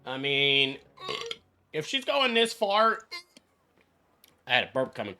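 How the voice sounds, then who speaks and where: A man streaming a video game with casual, blunt, excitable, foul-mouthed reaction commentary. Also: mid-range voice, takes it slowly, a little hiss or hum. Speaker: gaming streamer.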